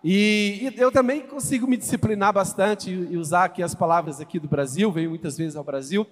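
A man's voice: high voice, brisk. A man in his fifties from Brazil with a Brazilian accent, a guest pastor addressing a congregation, exclamatory and asking the crowd questions. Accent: Brazilian Portuguese, from Goiás. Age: 50s. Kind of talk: sermon introduction to a congregation. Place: church sanctuary. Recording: church hall reverb.

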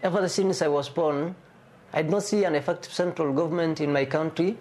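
A man's voice: a little high, steady tone, a little fast, a little mellow. A man in his twenties from Somalia, with a Somali accent, delivering a prepared recorded question as a listener. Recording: clear background noise, amateur submitted recording.

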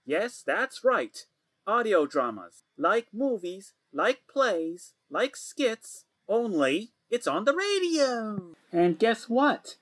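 A man's voice: sits high, sing-song, slow pace, a bit bright. A man hosting radio in a playful, theatrical, showman-like way, addressing the listeners directly. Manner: theatrical, showman-like, with rhetorical questions and repeats. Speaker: radio show host. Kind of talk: playful scripted radio presenting.

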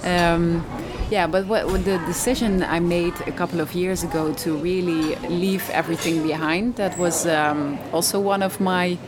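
A woman's voice: fairly low pitch, fairly even intonation, normal speed, hardly any pauses. A woman from the Netherlands with a Dutch accent, answering casually as an interview guest. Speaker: interview guest, yoga teacher. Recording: crowd chatter behind.

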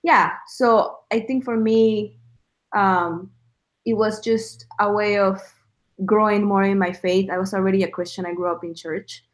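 A woman's voice: mid-range voice, fairly even intonation, a little slow. Young woman, earnest reflective guest with a mid-range voice. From El Salvador. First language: Spanish. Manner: earnest, reflective, with frequent fillers. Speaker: guest sharing a faith story. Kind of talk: casual testimony chat.

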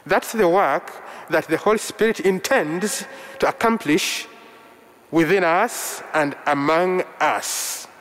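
A man from Uganda whose first language is Luganda, preaching a sermon in slow, measured short phrases. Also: high-pitched, fairly bright tone. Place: church. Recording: long reverb of a large hall.

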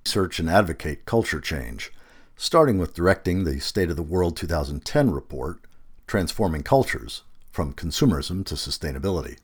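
Male narrator for long-form article. Low register, animated intonation, emphatic and deliberate phrasing. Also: breathy edge. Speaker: narrator reading a magazine feature aloud.

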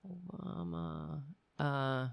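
A man with a raspy voice hosting a political commentary show, reading articles aloud and breaking into heated, sarcastic insults. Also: a little high, steady tone, extremely slow, dry recording. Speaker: podcast host.

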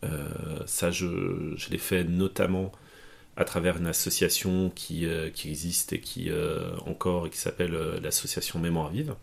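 Gravelly low-voiced man, hesitant. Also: flat delivery, moderate pace. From Portugal. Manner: hesitant, many fillers and restarts. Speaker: guest. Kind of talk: conversational interview.

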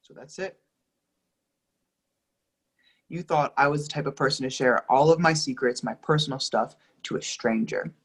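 A woman's voice: a bass voice, fairly quick, husky, full character voice. A woman in a play reading, playing a young lover who is heartbroken and pleading, hurt and sarcastic by turns. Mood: hurt, subdued. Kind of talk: readers theatre play reading.

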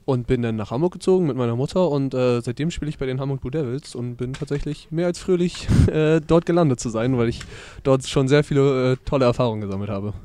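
A man's voice: mid-range voice, fast, few pauses, mellow. A 19-year-old man, a junior football player, answering interview questions eagerly and at length, often restarting mid-sentence. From northern Germany.

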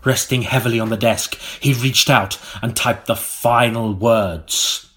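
A man narrating an audiobook in a theatrical, melodramatic style, with dramatic pauses. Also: mid-range voice, fairly even intonation, fairly bright tone.

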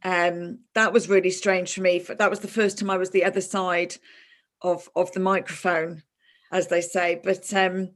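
A woman's voice: fairly low pitch, flat delivery, moderate pace. A woman hosting a podcast, talking casually with a close friend and often restarting her sentences. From moved to Ireland in 2000. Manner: chatty, warm with a friend, many fillers and restarts. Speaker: podcast host. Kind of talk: casual interview chat.

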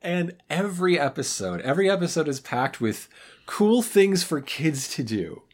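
An animated podcast co-host of mid-range pitch, talking in a casual, comedic style. The delivery swings up and down freely, with wry asides and playful riffs. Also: moderate pace. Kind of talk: casual comedic chat about a cartoon.

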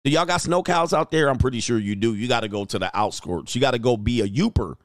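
A man in the middle of his range hosts a relaxed livestream, chatting and cracking jokes between viewer comments. He laughs easily and rambles in a loose, offhand way. The voice sits close and dry, with no room sound around it. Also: medium pitch, fast, no echo. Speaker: dating coach and show host. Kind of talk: casual livestream chat reading viewer comments.

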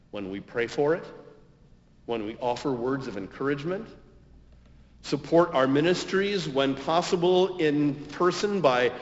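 An American man preaching a homily as a pastor, in measured, deliberate phrases with emphatic stresses and pauses. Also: mid-range voice. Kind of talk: sermon, homily. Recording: dull, reverberant hall recording.

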